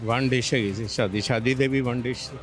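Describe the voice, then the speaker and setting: A man with a Pakistani Punjabi accent and an average-pitched voice, stopped for a vox pop. He gives short, casual answers with music and city sound behind him. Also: steady tone, normal speed. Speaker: member of the public interviewed. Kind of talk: brief casual street interview answers. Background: music over urban outdoor sound.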